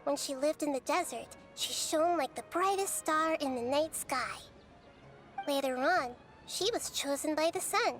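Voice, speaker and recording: Calm wise little goddess girl, high soft-natured game voice, measured explaining, bit of music under it. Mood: solemn storytelling. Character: small, wise, gentle girl deity, calm observer. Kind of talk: video game voice acting.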